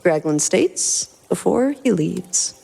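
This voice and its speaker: A woman's voice: fairly low pitch, animated, slow, creaky, mellow, slightly rough. A woman reading scripted story narration expressively as a podcast host.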